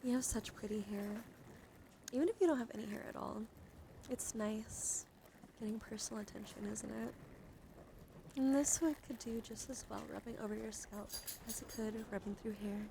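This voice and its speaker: A woman hosts an ASMR livestream in a gentle, soothing murmur that often drops toward a whisper. Her voice is soft and noticeably creaky, with a coaxing, personal-attention delivery. Clear background noise sits behind her on the live recording. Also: fairly high pitch, moderate pace, low-quality recording. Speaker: live streamer host. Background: background noise, dog outside.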